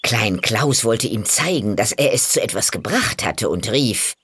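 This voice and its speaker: Casting: woman narrator for fairy tales, deep husky tone, unhurried, expressive delivery. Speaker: storyteller, narrator. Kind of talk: scripted fairy-tale narration.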